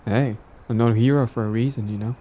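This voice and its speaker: A man's voice: mid-range voice, fairly even intonation, quick pace, dark tone, lightly acted. A man playing a lovestruck, persistent villain in an intimate romantic audio roleplay, talking casually and coaxingly to the listener, smug yet earnest. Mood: hopeful, flirtatious.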